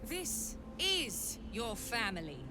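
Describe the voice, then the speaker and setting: Imperious, menacing cult matriarch, slow-spoken. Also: female voice, fairly high pitch, big pitch swings, music in the background. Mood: cold menace. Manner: imperious, deliberate, coldly taunting. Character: haughty, menacing middle-aged female cult mother superior. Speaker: villain in a fantasy video game. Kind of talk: theatrical video game dialogue.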